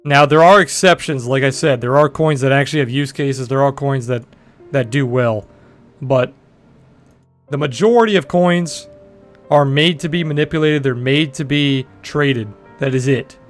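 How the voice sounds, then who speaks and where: A young man in his twenties with a deep voice, chatting bluntly and profanely with viewers on a trading livestream. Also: moderate pace, faint music behind. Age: 20s. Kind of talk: casual livestream chat answering viewers.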